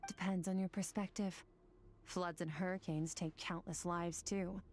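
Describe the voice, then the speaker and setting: An actress voicing a cool, composed woman warrior mentor in video game dialogue, speaking in a calm, measured way. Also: fairly low pitch, lightly acted.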